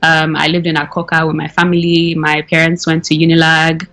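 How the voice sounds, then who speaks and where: A woman's voice: sits low, monotone, brisk, fairly bright tone. Chatty young woman, faint music. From Nigeria. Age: young adult. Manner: chatty, many fillers and self-corrections. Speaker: anonymous caller. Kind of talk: casual interview.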